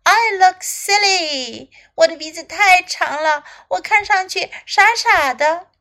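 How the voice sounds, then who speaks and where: Woman voicing a high-pitched eager little boy elephant. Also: big pitch swings, slow, fairly bright tone, exaggerated voice. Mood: upset. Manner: pleading, exclaiming. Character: young male elephant, polite, eager, easily distressed.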